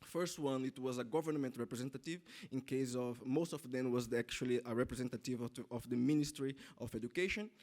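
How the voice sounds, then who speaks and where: A man from Brazil whose first language is Portuguese, giving a conference presentation in a halting way, with frequent restarts and self-corrections. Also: medium pitch, darkish tone.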